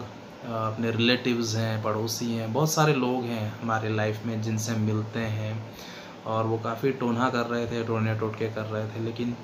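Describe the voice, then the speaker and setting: A man of 39 with an Indian accent gives an unscripted religious testimony, halting often and switching between two languages. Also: mid-range voice. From western Madhya Pradesh, India. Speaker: seminar participant giving a testimony. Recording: clearly noisy background.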